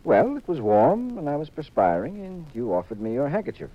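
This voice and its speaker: An actor playing a wry, self-assured private detective in a 1950s radio drama, coolly teasing and dry. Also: male voice, on the high side, wildly swooping pitch, a little fast. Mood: explaining, pleased. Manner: cool, dryly teasing. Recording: dull 1950s radio recording.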